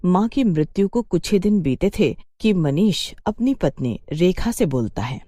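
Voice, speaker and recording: A woman narrates a scripted tale as a storyteller. Her voice is low and soft-toned with animated intonation, and the recording is very dry. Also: measured pace, dry recording.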